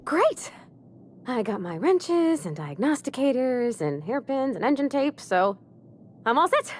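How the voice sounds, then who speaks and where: An actress playing a shy, eager, deferential young mechanic in a video game, with a hesitant, folksy, stammering manner. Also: female voice, high-pitched, big pitch swings, unhurried, a little hiss or hum. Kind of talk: video game character acting.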